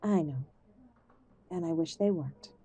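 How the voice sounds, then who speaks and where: A woman playing an ailing, contrite mother in an audio drama, soft and pleading toward her estranged son. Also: deep voice, measured pace, slightly rough. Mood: sorrowful. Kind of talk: audio roleplay drama acting.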